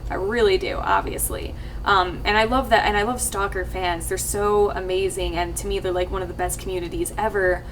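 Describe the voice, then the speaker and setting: A young woman talking casually to her viewers, rambling with lots of fillers and restarts, her voice a little husky. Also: steady tone, a little fast. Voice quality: slightly husky, low-ish voice. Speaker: YouTube let's player. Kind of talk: casual talk to camera. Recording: distant mic with faint ambient noise.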